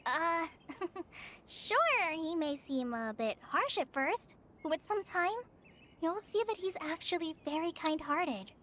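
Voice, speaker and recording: A woman's voice: very high-pitched, lively intonation, takes it slowly, pushed and bright, a little hiss or hum, exaggerated voice. A woman voicing an earnest, kindly young forest ranger trainee in a video game, polite, eager and a little flustered. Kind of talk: video game anime-style voice acting. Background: game music.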